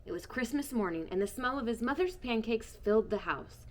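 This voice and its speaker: A woman reading a children's storybook aloud, expressive and shifting between hushed and bright tones. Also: on the high side, fairly quick. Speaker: storybook reader. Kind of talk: children's book read-aloud.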